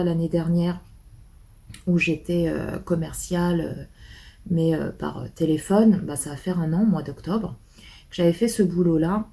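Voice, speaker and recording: Une femme qui tient un vlog, bavarde et décousue, avec beaucoup d'hésitations et de répétitions. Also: female voice, on the low side, dark tone. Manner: chatty and rambling, many fillers and repeats. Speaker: vlogger. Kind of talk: casual chat to camera.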